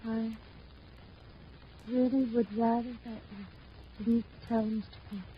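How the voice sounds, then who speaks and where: A woman plays a genteel, frail wife in a 1950s radio drama. Her voice is on the high side and very slow, weary and politely pleading. The old recording is muffled and lightly noisy. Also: little pitch movement, lightly acted. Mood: weary, restrained. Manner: polite, restrained, quietly pleading. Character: frail, genteel, captive wife of about forty.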